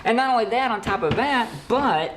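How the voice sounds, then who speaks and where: Animated opinionated horror news host, repeats stuff for emphasis, roomy echo, bit of rumble. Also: moderate pace. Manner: emphatic, repeats words for effect. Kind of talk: opinionated casual commentary.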